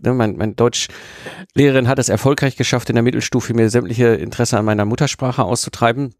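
A man's voice: medium pitch, fairly even intonation, a little fast, no echo, clean studio sound. A man hosting a podcast, telling casual anecdotes in a chatty way with stumbling restarts. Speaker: podcast host.

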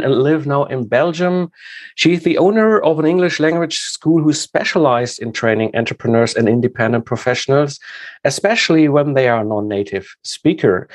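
A man with a German accent hosting an interview in English, speaking haltingly and searching for his words. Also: fairly high pitch, no room sound. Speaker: podcast host.

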